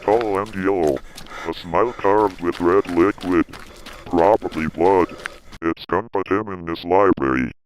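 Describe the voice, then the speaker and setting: Man acting as a deep-voiced frightened field agent. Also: measured pace, a little hiss or hum, poor audio. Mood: uneasy, reporting. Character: frightened male field agent reporting a monster. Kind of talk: amateur horror scenario acting.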